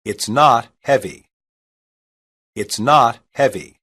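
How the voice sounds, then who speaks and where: A reader saying short English practice sentences slowly and evenly, each one twice, for a language lesson. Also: medium pitch, fairly bright tone, breathy edge. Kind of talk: slow repeated phrase reading.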